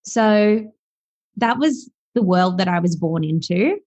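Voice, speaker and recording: A woman's voice: medium pitch, animated, takes it slowly, a little mellow, no room sound. A woman in her thirties from South Africa with an Australian accent, speaking as an interview guest in a reflective way, with frequent fillers. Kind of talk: podcast interview. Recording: dull, dry sound.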